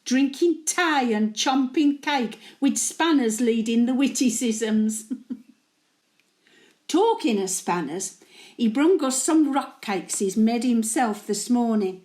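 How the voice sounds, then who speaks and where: A woman's voice: on the high side, lively intonation, takes it slowly, darkish tone. A woman playing a chatty, opinionated elderly working-class widow with a Birmingham accent, rambling confidingly in a dramatic monologue. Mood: fond, gossipy. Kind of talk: dramatic monologue in character, addressed to an absent husband.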